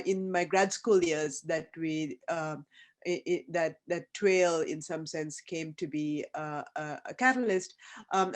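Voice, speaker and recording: A person in their fifties from Sri Lanka speaks at a panel over a video call. The delivery is thoughtful and halting, full of ums, uhs and restarts. The voice sits at a middle pitch. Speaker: academic panelist. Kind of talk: reflective panel talk.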